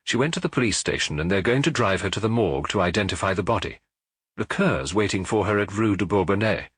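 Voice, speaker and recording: A man voicing the detective's observant wife in an audiobook, answering in a brisk, matter-of-fact way. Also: lowish voice, animated, fairly bright tone, slightly rough, no echo.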